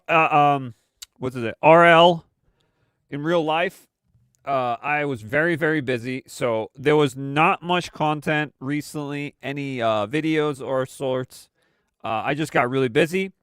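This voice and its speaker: A man's voice: a little high, a bit bright, clean tone, no echo, studio quality. Congested man hosting a casual stream. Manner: rambling with many fillers and restarts. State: congested, recovering from a cold. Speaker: host of a weekly live stream. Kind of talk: casual live-stream commentary.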